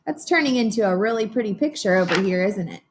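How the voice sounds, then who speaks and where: A woman reading a picture book aloud to young children as a teacher does, pausing to explain and ask simple, encouraging questions. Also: mid-range voice, lively intonation, noisy background.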